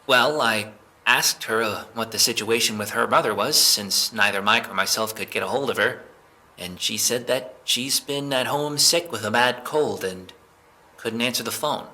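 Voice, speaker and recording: A man playing an evasive, jittery young man being questioned by police, halting and defensive, in a naturalistic audio drama. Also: medium pitch, sharp tone. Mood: nervous explaining.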